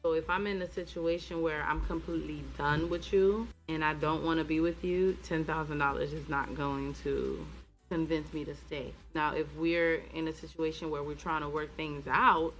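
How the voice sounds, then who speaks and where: A woman co-hosting a relationship podcast in casual chat, answering in a measured, thoughtful way with hedges. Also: sits low, flat delivery, faint music behind. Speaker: podcast co-host.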